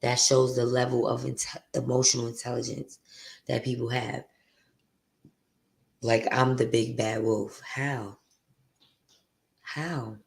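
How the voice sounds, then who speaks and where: A woman with a deep voice hosting her podcast, venting bluntly and profanely in a candid, confessional monologue. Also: flat delivery, slow, vocal fry, long pauses. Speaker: podcast host.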